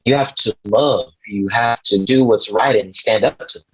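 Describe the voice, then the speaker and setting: A man playing an awkward, earnest driver in a screenplay table read, speaking in a halting, gentle, explanatory way. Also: on the low side, husky. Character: awkward, earnest male driver Chaz, kindly conspiracy believer. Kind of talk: table read of a film script. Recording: dull, slightly muffled audio.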